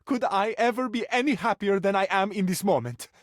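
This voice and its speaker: A man's voice: sits high, fairly quick, dry recording, studio quality, lightly acted. A man playing a romantic, grandiose Italian lover in a video game, speaking with an Italian accent in a flowery, amorous, theatrical manner. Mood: elated, exultant. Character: romantic, grandiose Italian household object come to life, suave male lover.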